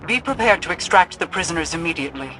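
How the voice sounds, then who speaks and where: An actor playing a stern, stately war leader in a video game, speaking formally and with command. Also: male voice, on the high side, lively intonation, quick pace, pushed and bright, poor audio. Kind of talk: scripted video game dialogue.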